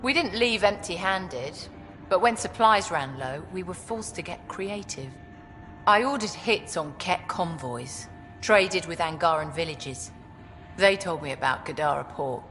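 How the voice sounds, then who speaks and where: A woman's voice: on the low side, animated, unhurried, a bit bright, big-room sound, faint music behind. A woman playing a hardened, short-tempered outlaw leader in a video game, speaking in a blunt, menacing way. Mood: bitter, proud. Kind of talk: video game cutscene acting.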